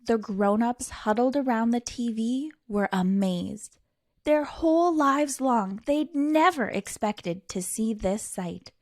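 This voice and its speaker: A woman with a fairly high voice reads a picture book aloud to young children. She is theatrical and unhurried, stretching key words and pausing for drama. The recording is close and dry. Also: lively intonation, a little mellow, no room sound. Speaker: storytime reader.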